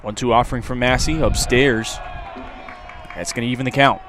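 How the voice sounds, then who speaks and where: A man's voice: mid-range voice, steady tone, moderate pace, a bit bright, faint music behind. A man calling a baseball game live as a radio play-by-play announcer. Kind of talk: live radio sports commentary. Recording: outdoor ballpark crowd and ambient noise. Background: ballpark crowd, outdoor noise.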